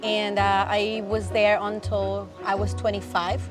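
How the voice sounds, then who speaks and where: Female, 50s, Venezuelan, native Spanish speaker; medium pitch, casual interviewee, music underneath. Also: little pitch movement, unhurried. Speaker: interview guest.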